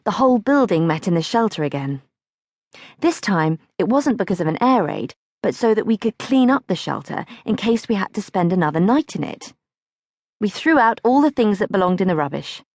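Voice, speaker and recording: Expressive woman narrator, very dry recording. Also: medium pitch, dry recording. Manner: expressive, acts out questions. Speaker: audiobook narrator. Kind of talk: scripted audiobook narration of a childhood diary.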